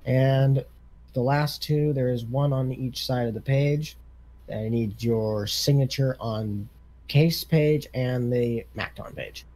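Guy playing a dealer in a game, dark mellow voice, mid pitch, just talking plainly. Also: takes it slowly. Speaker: role-play partner playing equipment dealer. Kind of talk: casual improvised game role-play.

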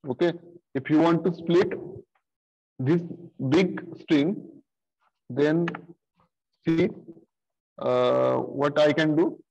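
Slow, halting male teacher. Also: fairly high pitch, creaky, a little mellow. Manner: slow, halting, many fillers and repeated "okay". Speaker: programming teacher. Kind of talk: screen-share coding lesson. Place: video call with screen share. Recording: dull lo-fi sound.

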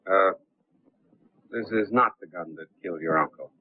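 A suave middle-aged gentleman impostor played by a male actor with a low voice and unflappable, polite delivery. He sounds like a 1930s movie, narrow and tinny. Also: normal speed, a little hiss or hum, natural voice. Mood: polite, businesslike. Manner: polite, smooth, hesitant with "uh". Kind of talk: 1930s film acting.

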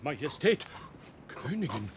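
An actor playing an anxious, formal, obsequious royal servant in a radio play. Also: male voice, mid-range voice, very animated, measured pace, a little hiss or hum. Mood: deferential, concerned.

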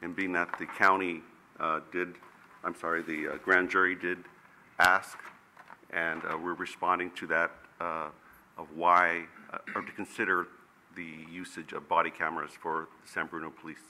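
Man in his 40s, councilmember, halting, flat, deep, very bright tone. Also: slight background noise. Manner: halting, repeats words while finding his phrasing. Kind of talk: council discussion. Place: council chamber, seated at a desk. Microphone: desk microphone.

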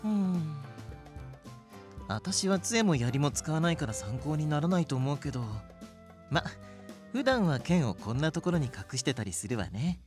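Low, haughty, stylish femme fatale. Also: female voice, big pitch swings, measured pace, darkish tone, soft background music. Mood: aloof, teasing. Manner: self-assured, teasing. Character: haughty, fashionable, alluring adult woman fighter. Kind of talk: anime-style radio drama acting.

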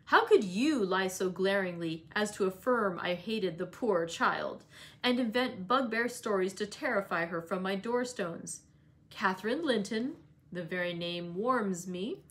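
A woman reading the part of a scornful middle-aged male villain, speaking slowly and forcefully with a mocking edge. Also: medium pitch. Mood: coaxing.

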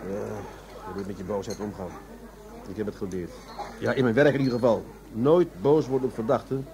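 An actor playing a gruff, world-weary police adjutant in a radio drama, blunt and matter-of-fact. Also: male voice, on the low side, fairly even intonation, fairly quick, warm tone, natural voice. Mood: bitter, controlled. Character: gruff, world-weary male police adjutant who masters his anger.

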